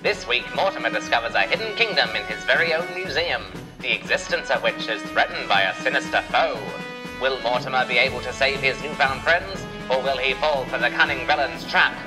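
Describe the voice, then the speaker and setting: A man's voice: medium pitch, brisk, music in the background, rough recording. A man narrating a children's adventure story in a dramatic, scripted storytelling style.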